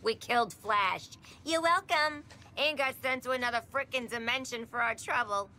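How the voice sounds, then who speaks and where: A woman's voice: a little high, normal speed, sharp tone, slight background noise. An actress playing a flippant, manic female villain in a video game, with a playful, sarcastic, sassy delivery. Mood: cheeky. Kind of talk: video game cutscene acting.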